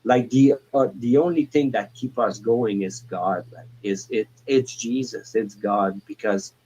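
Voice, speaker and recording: A man in his forties calling in to a talk show, speaking in a halting way with many restarts and fillers. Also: medium pitch, a little mellow, slightly rough, low-quality recording. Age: 40s. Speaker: caller. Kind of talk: casual call-in chat.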